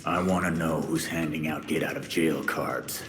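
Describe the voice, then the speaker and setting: A man's voice: very deep voice, fairly even intonation, normal speed. A man playing a hot-headed, blunt young vigilante hero in a video game cutscene. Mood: defiant. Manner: blunt, intense. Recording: long reverb, music behind.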